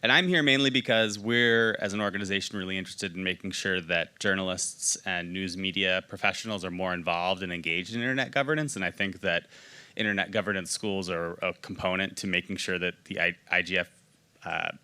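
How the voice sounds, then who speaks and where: A man's voice: low voice, brisk, sharp tone, clean tone. A man with an American accent introducing himself as a delegate at a meeting. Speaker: media organization delegate. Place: conference meeting room.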